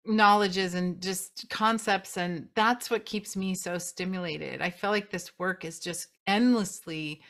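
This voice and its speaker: A woman's voice: on the low side, fairly even intonation, a little slow, few pauses, fairly bright tone. A woman interviewing a guest in a casual chat, enthusiastic and often restarting her sentences. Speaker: interviewer and company CEO.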